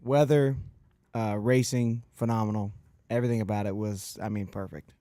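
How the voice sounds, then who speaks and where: A man co-hosting a podcast, slightly hoarse, chatting with enthusiasm. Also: lowish voice, steady tone, normal speed, dark tone, no echo. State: a little hoarse. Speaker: podcast co-host. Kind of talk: casual chat.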